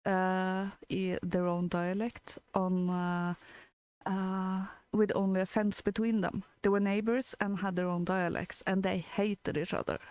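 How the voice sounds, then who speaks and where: A woman with a Norwegian accent chats casually as a co-host. Her delivery is slow and halting, with hesitant gaps between short phrases. The voice is smooth and clean, recorded dry without room sound. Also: medium pitch, fairly even intonation, a little mellow, no room sound. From Norway. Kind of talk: casual chat with anecdotes.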